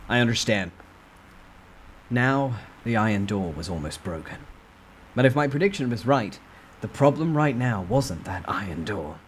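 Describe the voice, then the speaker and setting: A man's voice: fairly low pitch, big pitch swings, normal speed, a little hiss or hum. A man reading a novel aloud as a dramatic first-person narrator.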